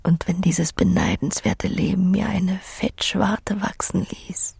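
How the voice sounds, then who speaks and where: Breathy, dreamy, creaky whispering woman. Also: fairly low pitch, expressive, slow, darkish tone, no room sound, exaggerated voice. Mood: dreamy, blissful. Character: dreamy sensual voice longing for a pig's blissful life. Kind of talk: German radio play monologue.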